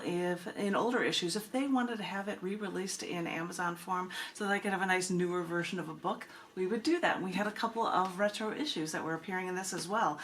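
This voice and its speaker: A woman hosting a poetry reading, speaking expressively and deliberately, with casual asides. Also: fairly low pitch, a bit bright. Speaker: poet and reading host. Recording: clearly noisy room recording.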